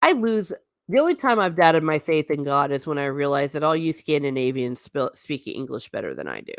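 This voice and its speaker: A woman with a notably low voice hosts a relaxed livestream, talking straight to her viewers and answering their comments. She is chatty and blunt, with lots of asides. The sound is dry and close, with no room echo. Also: fairly quick. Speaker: livestream host. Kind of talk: casual livestream chat, reading viewer comments.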